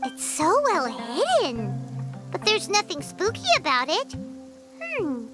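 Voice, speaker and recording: A tiny, excitable fairy companion from a video game. The voice is extremely high, childlike and squeaky, with a chirpy, very animated delivery. Music plays prominently behind it. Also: female voice, slow pace, exaggerated voice. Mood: curious. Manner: chirpy, refers to self in third person.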